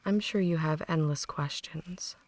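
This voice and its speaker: A woman performs an ancient female vampire in an intimate ASMR roleplay. Her voice is low, soft and breathy, slipping often into whispers. She takes her time over each phrase, condescending and quietly menacing, like a possessive mentor to a newborn. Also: no room sound. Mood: calm, lecturing. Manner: deliberate, condescending, softly menacing. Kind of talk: ASMR roleplay, slow intimate dramatic monologue.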